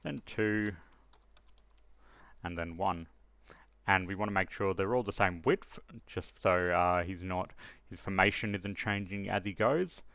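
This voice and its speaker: A man narrating a casual software tutorial, explaining each step informally as he goes. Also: deep voice, a little slow, long pauses, clear, resonant tone, no room sound. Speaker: tutorial presenter.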